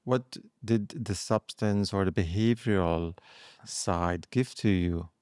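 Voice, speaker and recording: A man's voice: sits low, slow, a little mellow, no echo, clean studio sound. A man hosting an interview, asking careful questions and murmuring attentive acknowledgments. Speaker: podcast host.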